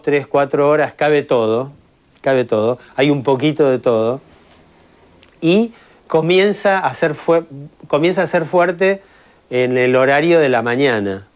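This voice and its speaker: A man with an Argentine accent explaining informally, repeating phrases for emphasis. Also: medium pitch. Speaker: commentator on radio history. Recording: slightly dull, band-limited sound.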